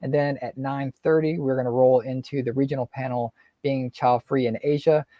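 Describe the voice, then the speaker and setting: A man's voice: mid-range voice, fairly even intonation, normal speed, no echo, lo-fi sound. A man hosting a video call, easygoing as he walks through a schedule. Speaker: host. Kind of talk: casual video call chat.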